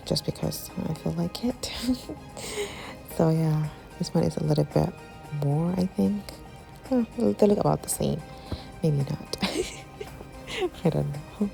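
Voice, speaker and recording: A young woman with a slightly husky voice, chatting casually to viewers while cooking in her home kitchen. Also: low-pitched, warm tone. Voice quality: husky, sounds slightly sick. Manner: chatty, giggly, talks to viewers as guys. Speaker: cooking channel host. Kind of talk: casual cooking vlog voice-over.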